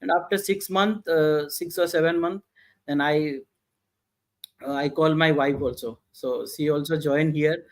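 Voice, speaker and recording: A middle-aged man from India with an Indian English accent, telling his business story in a halting, filler-heavy way. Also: fairly high pitch, steady tone. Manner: halting, many "uh" fillers and restarts. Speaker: guest entrepreneur. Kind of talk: interview answers, casual storytelling.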